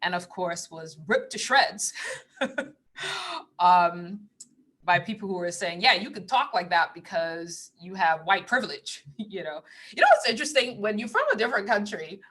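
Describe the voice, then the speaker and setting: A woman with a lilt talks casually to her live-stream audience in a bright, clear tone. She rambles from thought to thought, laughs often and drops into hushed asides. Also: mid-range voice, animated, a little slow. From Trinidad. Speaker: spiritual teacher on a live stream.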